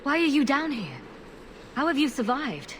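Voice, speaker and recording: An actress playing a calm, compassionate, questioning heroine in a fantasy video game. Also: female voice, high-pitched, normal speed, slight background noise. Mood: concerned. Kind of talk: video game cutscene acting.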